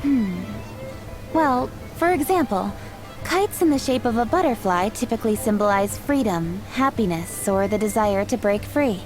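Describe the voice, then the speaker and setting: A gentle, knowledgeable young woman voiced by an actress, measured and formal in her speech. Her voice sits a little high. Clear game music plays behind her. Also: animated, natural voice. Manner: soft-spoken, measured, formal.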